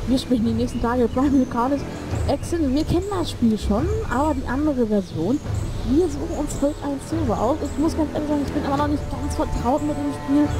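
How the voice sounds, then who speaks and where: A woman gamer with a fairly high voice talks through her online match. She is a bit sick and short of breath, and she rambles, corrects herself and cracks jokes. Loud game music plays behind her desk microphone. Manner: rambling, self-correcting, joking. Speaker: gameplay streamer. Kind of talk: casual let's play commentary. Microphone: desk microphone in a new recording room.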